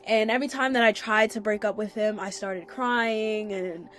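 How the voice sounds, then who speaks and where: A woman's voice: mid-range voice, fairly even intonation, moderate pace, fairly bright tone, soft background music. A young woman hosting a candid storytelling podcast, sounding congested and chatting casually with occasional swearing. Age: young adult. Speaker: podcast host.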